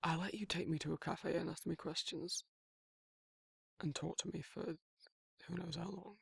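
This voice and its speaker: Casting: man to act as tender, guarded male werewolf for ASMR roleplay; slightly high-set but husky voice, hesitant, rambling delivery. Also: fairly even intonation, fairly bright tone, no room sound, studio quality, heavily acted. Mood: shy, tender. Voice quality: husky, breathy, gravelly. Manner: hesitant, halting, rambling, informal. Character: guarded, soft-hearted male werewolf shyly confessing affection. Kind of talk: intimate ASMR roleplay monologue.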